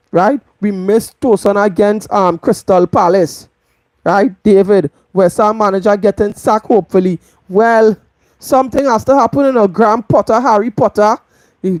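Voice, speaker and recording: A woman's voice: medium pitch, a little mellow, dry recording. A Trinidadian woman hosting a live football match review, stressing her points by repeating them. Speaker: football channel host.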